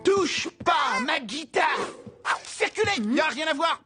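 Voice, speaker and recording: A man voicing an over-excitable, bossy and boastful rock-loving cartoon dad with a theatrical delivery. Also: very high-pitched, a little slow, soft background music, exaggerated voice. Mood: indignant. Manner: theatrical, shouty outbursts. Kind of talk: French animated series dub.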